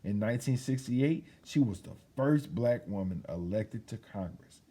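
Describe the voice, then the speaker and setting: A man reading a children's picture book aloud in an expressive, emphatic storytelling manner. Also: on the low side, moderate pace. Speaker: children's read-aloud host.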